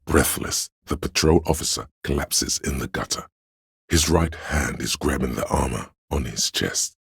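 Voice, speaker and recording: An exceptionally low-voiced man narrates a game with solemn, literary weight. His voice is husky and rasping, recorded close and dry. Each phrase is laid down deliberately, like scripted drama. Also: a bit bright, dry recording. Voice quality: gravelly, husky, very deep. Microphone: close studio microphone, dry.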